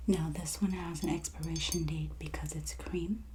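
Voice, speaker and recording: A woman speaking softly and breathily, often close to a whisper, gently presenting items as a merchant in an ASMR roleplay, close to the microphone. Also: sits low, steady tone, slight background noise. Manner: slow, gentle, unhurried presenting. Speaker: ASMR host playing a wine and spirits merchant. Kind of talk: soft-spoken ASMR roleplay, product showcase.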